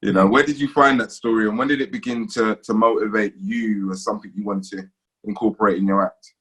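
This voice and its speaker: A man's voice: lowish voice, steady tone, fairly quick, fairly bright tone. A man interviewing a guest, asking probing questions in a conversational way.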